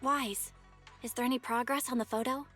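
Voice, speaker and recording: Actress as a very high, bright young investigator. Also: female voice, steady tone, normal speed, faint music behind, close to an everyday voice. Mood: gentle, determined. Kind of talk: video game story dialogue acting.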